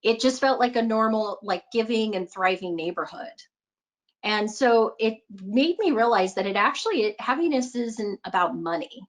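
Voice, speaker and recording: A woman's voice: on the high side, animated, moderate pace. A woman giving a conversational motivational talk as a coach, earnest and emphatic, repeating phrases for emphasis and often checking in with her listeners. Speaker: money mindset coach presenting a talk.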